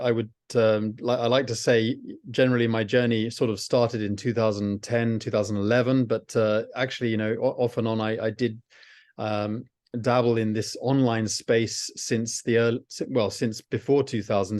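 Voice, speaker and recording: A man's voice: fairly low pitch, darkish tone, dry recording, studio quality. A middle-aged man hosting a casual video call chat from home, talking with many fillers and restarts. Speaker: host. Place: home, at night.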